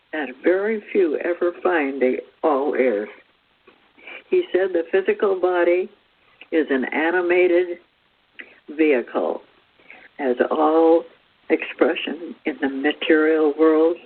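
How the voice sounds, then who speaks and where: A woman presenting a spiritual talk in a measured, read-aloud narrating style over a tinny, phone-like radio line. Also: on the low side.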